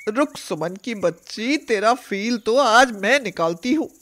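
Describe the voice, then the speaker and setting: A woman voicing a scolding, exasperated mother of grown daughters in an animated moral story, nagging and sarcastic. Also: on the high side, measured pace, slight background noise.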